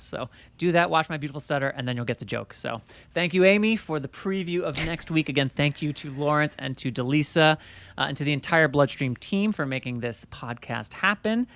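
A man's voice: on the high side, a bit bright. A man hosting a podcast, joking lightly and thanking guests as he wraps up the episode. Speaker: podcast host. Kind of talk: casual podcast talk.

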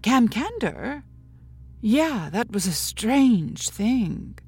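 A reflective, theatrical witness in a mock-documentary audio drama. She talks slowly with dramatic pauses and expressive pitch, over prominent music. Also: husky, full character voice. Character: reflective, theatrical woman interviewed about a vanished artist.

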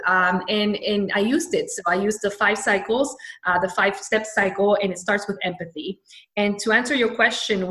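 A woman's voice: mid-range voice, fairly bright tone. A woman from Venezuela, a brand storyteller, giving an upbeat podcast interview with many fillers and restarts. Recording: echoey room.

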